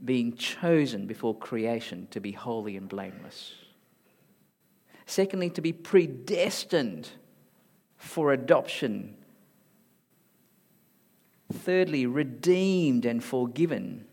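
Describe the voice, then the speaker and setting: A man from Malaysia with a mixed Malaysian, Singaporean and Australian accent, preaching a sermon emphatically and rising to loud high emphasis. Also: animated, slow pace, pauses often. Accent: mixed Malaysian, Singaporean and Australian English. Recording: hall reverb.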